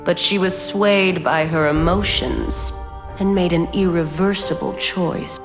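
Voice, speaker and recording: A woman voicing a haughty, cold ancient female spirit who judges heirs in a game, measured and condescending, with a long reverb. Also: lowish voice, steady tone, lightly acted. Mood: solemn, cold. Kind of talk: dramatic game voice acting. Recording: long cavernous reverb, music behind.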